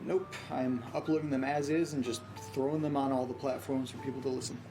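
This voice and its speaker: An actor playing an anxious, earnest man in a naturalistic audio drama, speaking in a hesitant, halting way. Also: mid-range voice, fairly even intonation, music in the background, natural voice. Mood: casual. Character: anxious, earnest man recording strange events at home. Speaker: lead character of a horror audio drama.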